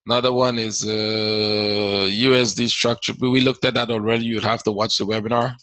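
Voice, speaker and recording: Man with a Caribbean accent, bright tone, dry sound, chatty explaining, repeats words. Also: fairly low pitch, flat delivery, normal speed, dry recording. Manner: explains casually, repeats words, reads out viewer questions. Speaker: trading webinar host. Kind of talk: live webinar Q&A.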